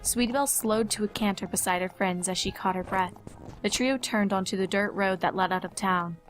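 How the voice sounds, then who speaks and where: Woman narrating a story, medium pitch. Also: fairly quick, faint music behind, rough recording.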